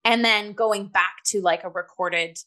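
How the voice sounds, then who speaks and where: A woman's voice: medium pitch, fairly even intonation, measured pace, bright, forceful tone. A woman co-hosting a review podcast, talking casually in an emphatic, reflective way. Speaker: theatre review podcast co-host.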